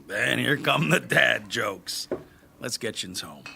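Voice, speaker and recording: Gravelly, wry man. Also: medium pitch, animated, takes it slowly, bright, forceful tone, a little hiss or hum, exaggerated voice. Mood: kindly, exasperated. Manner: teasing, colloquial. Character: wry, folksy caretaker, amused and kindly toward a drunk. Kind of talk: audio drama acting.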